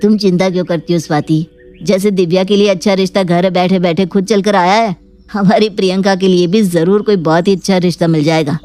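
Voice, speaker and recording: A woman voicing a calm, fair-minded middle-aged spouse, soothing and gently reproving, in a cartoon story. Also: lowish voice, fairly quick, lightly acted. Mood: reassuring.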